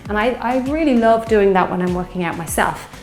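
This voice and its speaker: A woman in her fifties, a fitness instructor leading a guided strength workout, slightly hoarse from a sore throat and cheering on the class as she counts out reps. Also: mid-range voice, animated, a little fast, darkish tone, reverberant, faint music behind. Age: 50s. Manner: encouraging, counting reps while exercising.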